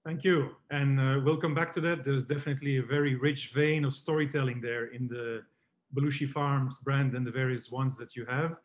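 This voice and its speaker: A man moderating a webinar panel, measured, linking remarks and passing questions between guests. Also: medium pitch, steady tone, a little hiss or hum.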